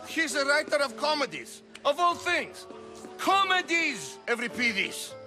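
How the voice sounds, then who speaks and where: An excitable male playwright in a video game, extremely high-pitched and wildly swooping. He drags his words out with scorn, over clear music. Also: measured pace, heavily acted. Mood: scornful. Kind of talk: video game cutscene acting.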